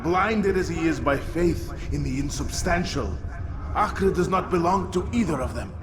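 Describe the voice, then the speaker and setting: A man's voice: a little high, expressive, moderate pace, lightly acted. Proud, dying overlord played by a man, reverberant. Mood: proud, defensive. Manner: emphatic, self-justifying. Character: proud, self-righteous male city overlord, defiant while dying. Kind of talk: video game villain acting. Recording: long echoing reverb, clear background noise.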